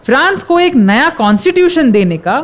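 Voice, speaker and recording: An actress with an Indian accent playing an earnest narrator, delivering scripted history in a radio play. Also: female voice, high-pitched, very animated, normal speed. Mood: earnest, dramatic.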